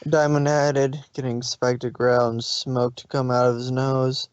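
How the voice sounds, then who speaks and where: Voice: mid-range voice, flat delivery, measured pace, no echo. A narrator reading a fan fiction story aloud in an amateur production. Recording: dull, lo-fi home recording.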